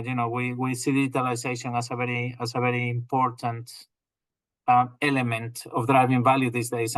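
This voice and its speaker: A man from northwest Spain with a Spanish accent, a company president explaining his business in a measured way, with frequent fillers and restarts. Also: mid-range voice, dry recording. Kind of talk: business interview over video call. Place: home or office in England.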